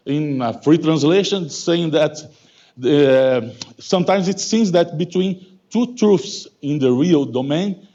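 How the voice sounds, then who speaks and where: A man in his fifties from Brazil with a Brazilian Portuguese accent, Portuguese as his first language, giving a halting academic talk full of self-corrections and "okay?". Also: fairly high pitch, warm tone, highs cut off. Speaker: guest lecturer. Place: lecture hall.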